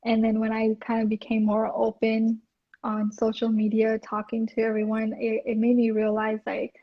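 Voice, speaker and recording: A woman with a soft voice, talking hesitantly with many restarts as a guest in a casual interview. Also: fairly high pitch, monotone, normal speed. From India. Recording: dull lo-fi audio.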